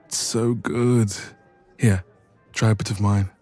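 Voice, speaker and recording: A man's voice: fairly low pitch, slow, faint music behind. A man playing a playful, teasing young best friend in a romantic roleplay audio, chatting casually and intimately. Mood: warm, chatty. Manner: playful, teasing, expressive, soft laughs.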